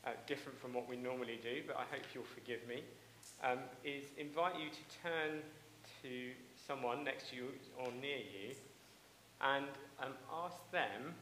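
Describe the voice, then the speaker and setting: A man's voice: medium pitch, moderate pace, pushed and bright. A man leading a church service, speaking politely and hesitantly, with fillers. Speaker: service leader. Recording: echoey church, distant mic, hiss.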